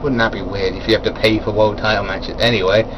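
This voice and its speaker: A man's voice: on the low side, little pitch movement, fast talker, muffled recording, noisy background. Rambling man reviewing wrestling. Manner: rambling, filler-heavy, chuckles at his own asides. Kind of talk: casual spoken event review.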